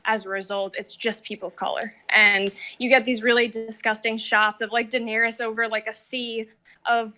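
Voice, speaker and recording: Bright-voiced woman, emphatic casual podcast talk. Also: fairly high pitch, level tone, normal speed. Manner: filler-heavy, emphatic. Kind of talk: casual critical discussion.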